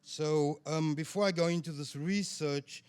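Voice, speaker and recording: A man with a loud, carrying voice addresses a panel audience from a stage. He talks in a measured, formal way, often pausing on "um", speaking into a handheld mic. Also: on the high side, clear, resonant tone. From South Africa. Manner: measured, formal, with frequent ums. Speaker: student representative speaker. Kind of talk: panel presentation. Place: event stage.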